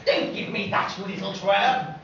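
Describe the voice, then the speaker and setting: A pompous, scheming archbishop, acted on an amateur stage, declaiming angrily in a gravelly voice. The sound is distant and very echoey. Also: medium pitch, moderate pace, muffled recording, crowd noise, lightly acted. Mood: scolding. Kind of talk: amateur pantomime stage acting. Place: stage in a large theatre hall. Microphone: far camera. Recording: distant camera in echoey, noisy hall.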